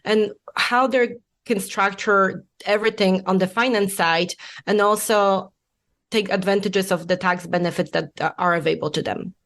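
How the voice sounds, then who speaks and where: A woman in her forties from Poland with a Polish accent, a guest accountant in a podcast interview, explaining in a measured way with frequent pauses. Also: medium pitch, fairly even intonation, fairly bright tone.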